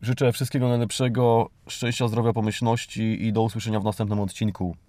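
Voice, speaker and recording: A man's voice: fairly low pitch, little pitch movement, a little fast, mellow, no echo. A Polish man hosting a podcast, delivering a tongue-in-cheek monologue in a mock-earnest, exhorting manner. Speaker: podcast host.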